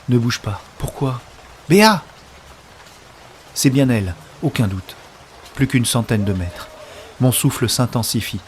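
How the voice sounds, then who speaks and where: A man's voice: medium pitch, a little mellow, lightly acted. Man acting urgent runner narrating, noisy backdrop. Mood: desperate calling. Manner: urgent, dramatic, short clipped phrases. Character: anxious man racing through a riot to reach a woman. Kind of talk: first-person audio fiction narration in present tense.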